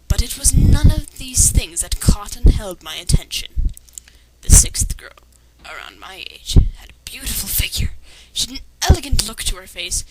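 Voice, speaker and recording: A woman's voice: medium pitch, rough recording. A woman reading fan fiction aloud with sarcastic, critical commentary. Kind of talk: dramatic reading with mocking commentary.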